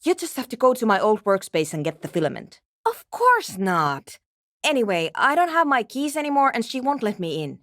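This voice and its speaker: Curt, animated game programmer. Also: female voice, a little high, moderate pace, no room sound, clean studio sound. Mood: businesslike, impatient. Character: driven, curt woman programmer, intellectual and absorbed in research. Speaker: video game voice actor. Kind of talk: scripted game character dialogue.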